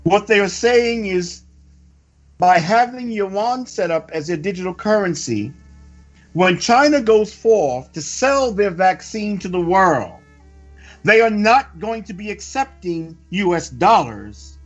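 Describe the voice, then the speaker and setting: A man reading a scripted news report with preacherly commentary, in a deliberate, emphatic and solemn way. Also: sits high, lively intonation. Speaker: religious report reader and commentator. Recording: dull lo-fi audio with music. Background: background music.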